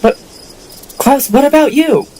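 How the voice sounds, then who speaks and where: A woman's voice: high-pitched, a little slow, warm tone, low-quality recording. A woman playing a loyal, worried rancher who stands her ground in an audio drama. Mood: worried, insistent.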